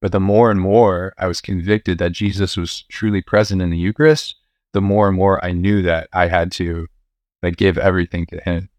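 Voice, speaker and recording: Young male priest, deep voice, casual storytelling, lots of "um", dry close mic. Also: moderate pace, dry recording. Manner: relaxed, many fillers and restarts, occasional laughs. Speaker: priest and university chaplain.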